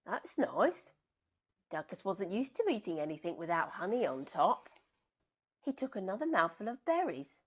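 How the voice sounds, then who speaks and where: A woman reading a children's storybook aloud in an expressive, unhurried and dramatic way. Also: mid-range voice, breathy edge.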